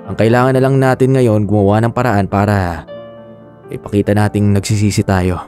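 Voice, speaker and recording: An actor with a Filipino accent playing a steady young boyfriend in radio drama dialogue, reassuring and firm but resigned. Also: male voice, on the low side, fairly quick, soft background music, natural voice.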